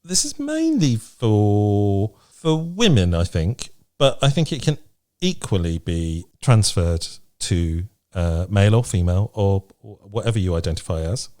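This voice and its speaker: A man's voice: fairly low pitch, big pitch swings, a little slow, warm tone. A man co-hosting a podcast, chatting casually and frankly in a matter-of-fact way. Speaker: podcast co-host. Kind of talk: casual chat. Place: remote podcast recording.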